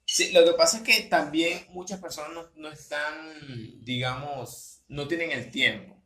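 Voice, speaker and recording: A man's voice: a little high, fairly even intonation, unhurried, a bit bright, reverberant. A man from Latin America co-hosting a casual podcast about learning English, often repeating words as he thinks. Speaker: podcast co-host, English teacher.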